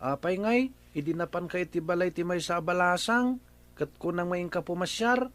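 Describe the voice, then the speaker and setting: A man's voice: high-pitched, normal speed, fairly bright tone. A man with an Ilocano accent playing a cheeky, defiant young brother in a radio comedy drama.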